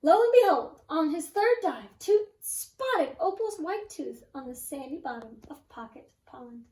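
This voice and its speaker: A woman reading a children's storybook aloud like a teacher, animated and engaging her listeners. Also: high-pitched, a little slow, recorded from a distance. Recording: roomy echo, away from mic.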